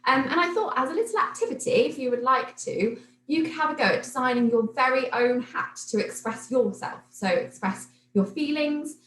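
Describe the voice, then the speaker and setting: A woman reading a children's picture book aloud like a teacher, in an expressive, animated storytelling manner. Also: sits high, normal speed, hardly any pauses. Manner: animated, clearly paced storytelling for young children. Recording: roomy echo, noticeable background noise.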